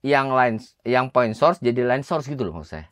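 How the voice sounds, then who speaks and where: Man, mid-pitched voice, informal technical teaching, emphatic repetition, quizzing questions, dry close sound. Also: lively intonation, moderate pace, fairly bright tone, no room sound. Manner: emphatic, repeats phrases, quizzes the listener. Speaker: audio engineering teacher. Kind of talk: informal technical explanation in conversation.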